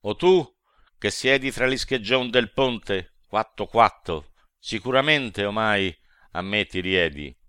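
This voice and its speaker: A man voicing a wise old guide who speaks calmly and solemnly to reassure his companion. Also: lowish voice, slow, clear, resonant tone, no room sound, clean studio sound, voice pushed up. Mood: firm. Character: wise old male guide, calm and steady.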